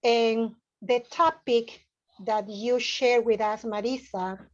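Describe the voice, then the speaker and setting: A woman's voice: on the high side, steady tone, slow. A woman whose first language is Spanish, a teacher who talks chattily, filling her speech with "okay" and "right". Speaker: teacher, audience participant. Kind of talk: casual thanks and anecdote. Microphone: video call. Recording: dull lo-fi call audio.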